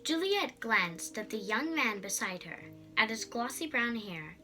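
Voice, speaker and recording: A young girl giving an expressive scripted reading of a story as narrator. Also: mid-range voice, low-quality recording. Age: child.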